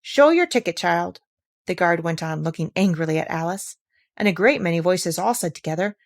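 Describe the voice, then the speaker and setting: A woman's voice: fairly low pitch, fairly even intonation, a little fast, dry recording. Woman narrating a storybook, dry close recording. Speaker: audiobook reader. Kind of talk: expressive children's story narration.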